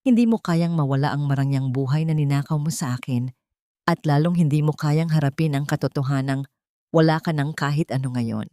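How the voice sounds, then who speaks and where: A woman performs a wronged wife and mother in a romantic audio drama. She keeps calm and controlled, with a lowish voice and cutting, hushed delivery. The sound is close and dry. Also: a little fast, dry recording, lightly acted. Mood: cold, scornful.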